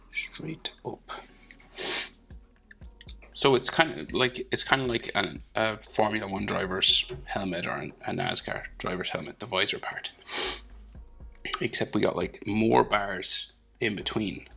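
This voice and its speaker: A man with a head cold, sniffling as he talks through a drawing. His voice is low, very gravelly and bright-edged, and he thinks aloud with lots of fillers, sometimes sinking into a whisper. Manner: thinking aloud, hesitant, many fillers, drops to near whispers. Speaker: drawing tutor. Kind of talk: casual drawing commentary.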